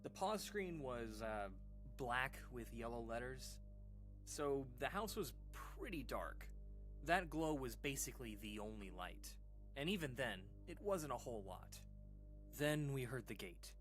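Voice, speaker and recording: A man acting as an uneasy storyteller in an audio drama, recounting an eerie childhood memory in a halting, informal, conversational way. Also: mid-range voice, big pitch swings, fairly bright tone, faint music behind. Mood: hesitant, uneasy. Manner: hesitant, halting, informal, with pauses and sighs.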